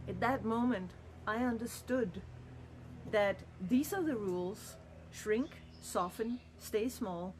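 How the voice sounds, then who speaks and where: A woman's voice: fairly high pitch, takes it slowly, a little hiss or hum. A middle-aged woman from Denmark, speaking in a candid, earnest and reflective personal monologue. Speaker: vlogger.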